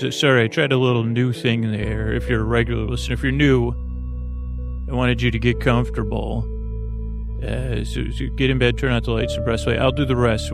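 A man hosting a bedtime podcast, talking straight to the listener in a meandering, halting way that often trails off and doubles back. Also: medium pitch. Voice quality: gravelly, creaky. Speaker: podcast host. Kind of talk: rambling bedtime podcast monologue, addressed to the listener.

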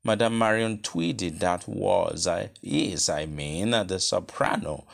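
A man with a Nigerian accent voicing a mild, matter-of-fact gentleman sharing gossip in an audiobook, understated in manner. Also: sits low, unhurried, gravelly.

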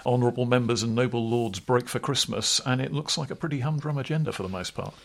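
A man's voice: mid-range voice, fast talker, vocal fry. A middle-aged man co-hosting a political podcast, chatting in a wry, discursive way. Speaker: podcast co-host. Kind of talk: casual political chat.

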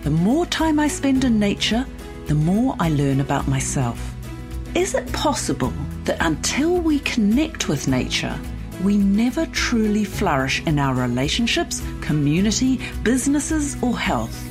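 A woman hosting a podcast, hoarse from losing her voice, explaining things in a lively, chatty way. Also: mid-range voice, unhurried, music in the background. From New Zealand. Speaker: podcast host. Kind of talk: solo podcast chat.

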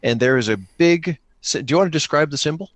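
Guy running a tabletop game, chatty n jokey, thinking out loud, close dry sound. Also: on the high side, animated, fast talker, no room sound. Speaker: co-player running a solo-engine tabletop game. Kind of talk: casual improvised tabletop game narration.

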